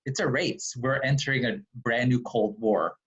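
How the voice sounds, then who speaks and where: A man's voice: medium pitch, normal speed, a bit bright, highs cut off. A man in his forties, a technologist and guest in a casual conversation, speaking in a blunt, urgent, emphatic way. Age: 40s.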